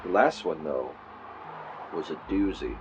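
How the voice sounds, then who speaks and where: A man's voice: rumbling low, expressive, unhurried. An actor playing a male true-crime podcaster, telling a spooky story theatrically with wry asides into a clip-on mic. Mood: ominous, dramatic. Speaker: podcast host character. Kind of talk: audio drama, true-crime narration recorded on tape. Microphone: mic clipped to shirt. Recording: muffled noisy tape, clip-on mic in car.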